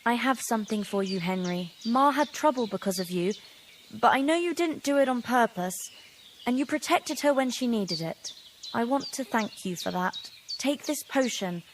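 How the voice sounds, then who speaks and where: A woman's voice: fairly high pitch, lively intonation, moderate pace, a little hiss or hum, natural voice. A woman playing a frank young village girl. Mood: warm, grateful. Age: young adult. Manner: frank, folksy speech. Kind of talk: video game cutscene acting.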